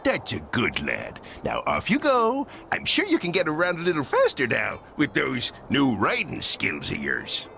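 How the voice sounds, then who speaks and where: A greedy old cartoon crab with a sharp, gravelly voice. He blusters and stammers like an old sailor, his pitch leaping all over. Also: huge pitch swings, measured pace, hardly any pauses, soft background music, heavily acted. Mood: hearty. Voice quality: gravelly, piratey. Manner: stammering, blustering, seafaring slang. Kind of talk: cartoon game acting.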